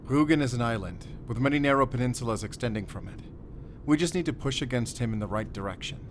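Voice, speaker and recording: Man acting a measured, battle-worn werewolf hunter. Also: mid-range voice, expressive, a little hiss or hum, natural voice. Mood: grave, resolute. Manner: measured, deliberate, pausing between short phrases. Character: battle-worn male werewolf hunter, calm, wry, quietly tender. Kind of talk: cinematic audio roleplay acting.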